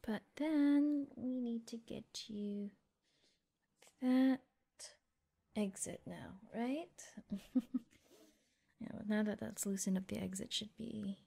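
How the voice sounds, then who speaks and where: A breathy, mellow female mecha mechanic, played by a woman in an ASMR roleplay. She is gentle and lightly teasing, speaking softly and often dropping to a whisper, in an unhurried murmur of reassurance. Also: on the high side, heavily acted. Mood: gentle, focused. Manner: unhurried, murmuring reassurance while busy working.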